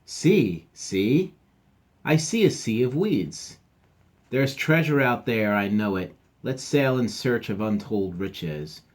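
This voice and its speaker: A man doing a loud, eager pirate pup girl. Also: medium pitch, slow pace. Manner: loud, excited, sing-song. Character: eager bossy female pirate-playing dog.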